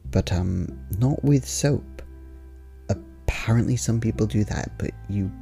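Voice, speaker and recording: A man acts out a friendly male diarist rambling through a casual roleplay monologue. His voice is very low with a crackle of fry, and his delivery is conversational and wry, with hesitant asides. Soft music plays behind him. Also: measured pace. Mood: earnest, wry.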